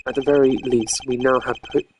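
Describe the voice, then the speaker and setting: A streamer voicing a stern, analytical young gentleman prosecutor in a video game, formal, deliberate and brooding. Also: medium pitch, fairly even intonation, a little hiss or hum, natural voice. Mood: determined.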